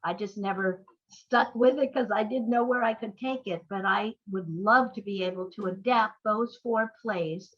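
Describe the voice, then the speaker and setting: Older woman, interview guest, medium pitch, muffled lo-fi sound, halting reflective storytelling. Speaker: playwright and screenwriter guest.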